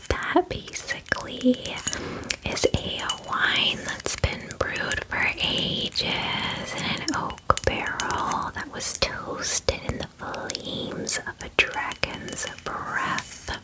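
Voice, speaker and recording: A woman voicing a bubbly, friendly female dragon in an ASMR fantasy roleplay, chatting in a soft, breathy, girly whisper with casual filler words. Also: extremely slow, rough recording. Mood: caring, reassuring.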